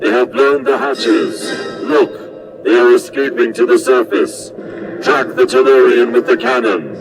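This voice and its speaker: A man's voice: mid-range voice, fairly even intonation, a little slow, close to an everyday voice. A man playing an imperious, ruthless alien battle-cruiser commander who gives formal orders in a science fiction audio drama. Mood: commanding. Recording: distant voice under loud music.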